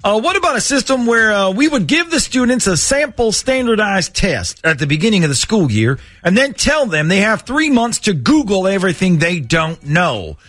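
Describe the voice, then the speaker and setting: A man playing an earnest, clueless school planning official on a prank phone call, polite, hesitant and deadpan. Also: sits high, normal speed, hardly any pauses, dry recording, studio quality, exaggerated voice. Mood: hesitant, hopeful. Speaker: radio show prank caller.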